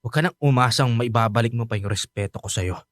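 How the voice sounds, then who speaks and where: An actor playing a resentful, stubborn young adult son in a radio drama, with a curt, sarcastic manner. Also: male voice, medium pitch, quick pace, no echo, studio quality, natural voice. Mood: cold anger.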